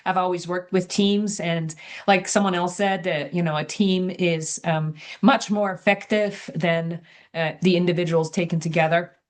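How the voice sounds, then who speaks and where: An older woman from Germany, introducing herself in a measured, articulate way on a video call. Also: lowish voice, steady tone. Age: older, semi-retired. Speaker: coach, former healthcare executive. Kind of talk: self-introduction in an online meeting.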